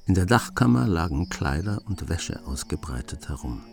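A male narrator of a radio drama, telling an adventure tale in a measured, literary manner. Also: low voice, lively intonation, creaky, music in the background. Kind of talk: scripted narration.

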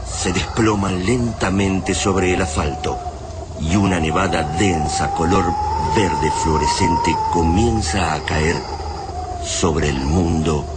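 An actor narrating an apocalyptic radio drama gravely and suspensefully. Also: male voice, low-pitched, slow. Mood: grave, tense. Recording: clearly noisy, sound-effect ambience.

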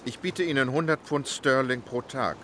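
An actor playing a composed, courteous English gentleman traveller in a German audio drama, speaking formally and precisely. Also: mid-range voice, moderate pace, a little hiss or hum, close to an everyday voice. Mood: businesslike, determined. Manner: formal, polite, unflappable, precise.

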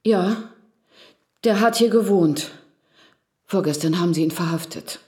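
A woman's voice: lowish voice, unhurried, darkish tone, lightly acted. An actress playing a wary female neighbour in a radio drama.

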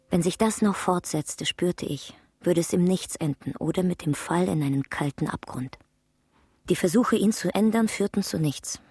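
An actress plays a troubled lover in a radio play. Her voice is slightly low, earnest and searching, with faint music behind it. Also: lightly acted. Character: earnest, troubled woman caught in a draining love. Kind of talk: German radio drama acting.

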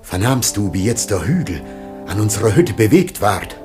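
A man's voice: lowish voice, very animated, normal speed, music in the background. An actor playing the aged first man, anguished and awaiting death, in solemn, declamatory radio-play style. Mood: dread, foreboding. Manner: grave, declamatory, archaic verse-like diction. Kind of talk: solemn classical German radio play acting.

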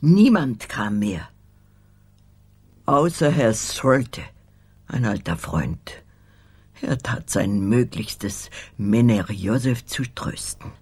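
An older woman playing a loyal, curt old housekeeper in a radio crime drama, terse and guarded with a very husky voice. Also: rumbling low, expressive, takes it slowly, long pauses, darkish tone, full character voice. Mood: curt, reticent. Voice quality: very husky, breathy.

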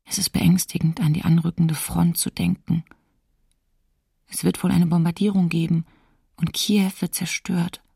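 Fearful diarist, radio docudrama, creaky, even-toned, sombre, short plain sentences. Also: female voice, lowish voice, unhurried, dry recording, studio quality, heavily acted. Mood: anxious, sombre. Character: lonely, fearful woman diarist in wartime winter. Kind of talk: documentary radio play, diary reading.

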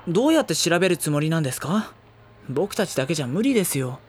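An actor playing a reserved high school boy in an audio drama, narrating and talking in a polite, dry, exasperated manner. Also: sing-song, fast, a little mellow, slight background noise.

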